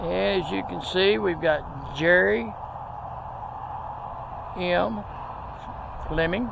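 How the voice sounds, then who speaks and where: A man's voice: high voice, drawn-out words, vocal fry. A middle-aged man with a Texan accent gives a folksy, easygoing walking tour outdoors, filming with a handheld tripod camera. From Texas. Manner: folksy, easygoing, with frequent fillers. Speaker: video host. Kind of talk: casual walking tour narration. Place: outdoors at a rural roadside cemetery, windy. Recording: outdoor wind and road traffic noise.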